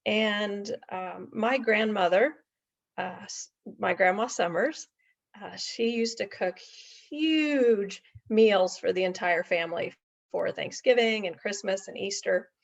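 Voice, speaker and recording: Gravelly middle-aged woman telling a folksy story. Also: mid-range voice, slow. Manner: folksy, chatty, with asides and tag questions. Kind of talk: casual anecdotal storytelling to an audience. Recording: dull lo-fi recording.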